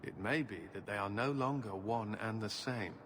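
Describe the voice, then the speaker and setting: An actor playing a grave, conflicted knight officer of a holy order, speaking formally in a fantasy game. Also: male voice, lowish voice, moderate pace, natural voice. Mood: solemn, troubled. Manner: formal, solemn. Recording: faint background noise.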